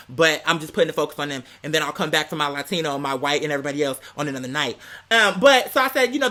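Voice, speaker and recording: A man's voice: a little high, sharp tone. An American man telling a casual story as a rant to viewers, with a sassy, theatrical, slang-heavy way of talking. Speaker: vlogger.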